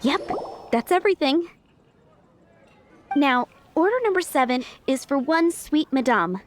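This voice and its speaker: Game shopkeeper cook: polite, proud, harried young woman, high and lively delivery. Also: unhurried, soft background music. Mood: businesslike, friendly. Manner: polite, earnest, expressive. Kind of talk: anime-style video game voice acting.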